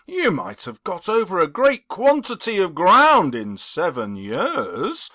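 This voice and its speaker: Audiobook old miser played by a man: fearful, imploring, trembling, raised pitch, bright dry sound. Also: wildly swooping pitch, slow pace, dry recording, exaggerated voice. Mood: uneasy, wondering. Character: frightened, pleading old miser facing a ghost. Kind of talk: dramatic audiobook character reading.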